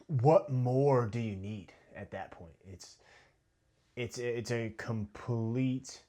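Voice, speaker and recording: Heated American man, slightly low voice. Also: dark tone. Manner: heated, halting with repeated restarts and sudden drops in volume. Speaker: video commentator. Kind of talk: casual monologue commentary.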